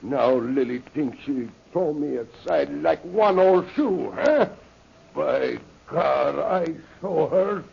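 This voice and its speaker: An actor playing a jealous, flattering French henchman in an old radio serial, speaking broken English with a French accent. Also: fairly high pitch, takes it slowly, creaky, background noise, exaggerated voice. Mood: bitterly jealous. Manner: broken English, oath-peppered, fawning. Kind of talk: 1930s radio serial acting. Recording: muffled old-time radio recording.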